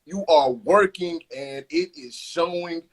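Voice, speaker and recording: A man's voice: on the high side, slow, slight background noise. Slangy laid-back young man hosting. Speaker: host. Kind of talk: casual interview.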